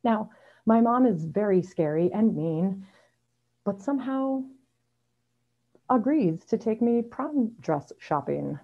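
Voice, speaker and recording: A woman's voice: mid-range voice, animated, takes it slowly, pauses often. A middle-aged woman reading a personal story aloud over a video call, with a wry, self-deprecating manner. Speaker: amateur storyteller at a story slam. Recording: dull, lo-fi video call audio.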